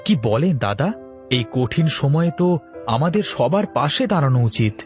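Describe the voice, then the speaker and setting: Man playing an earnest wandering holy man, very lively pitch. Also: normal speed, faint music behind, natural voice. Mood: earnest, kindly. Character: earnest young wandering male ascetic disciple serving villagers. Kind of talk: Bengali cartoon dialogue.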